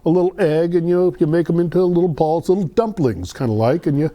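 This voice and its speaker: Male guest, soft dark tone, informal explainer who gropes a little for words. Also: on the high side, brisk. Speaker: guest explaining holiday traditions. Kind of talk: casual explanatory chat.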